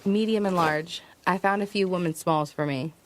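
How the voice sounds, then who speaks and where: A woman's voice: fairly low pitch, moderate pace, a little hiss or hum, close to an everyday voice. An actress playing a resourceful, stubborn woman survivor in an audio drama, narrating and speaking in a terse, matter-of-fact way. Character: resourceful, stubborn female survivor traveling west. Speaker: lead actor and first-person narrator. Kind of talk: audio drama acting with first-person narration.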